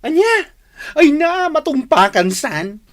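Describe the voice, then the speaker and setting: A woman's voice: high voice, big pitch swings, takes it slowly, full character voice. A woman playing a blunt, exasperated and protective best friend in a radio drama. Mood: exasperated, scolding.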